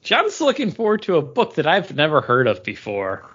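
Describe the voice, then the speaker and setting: A man's voice: on the high side, huge pitch swings, moderate pace, a bit bright, breathy edge. A man chatting casually as a podcast co-host, playful and rambling in the way he talks. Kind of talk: casual chat. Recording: dull lo-fi audio.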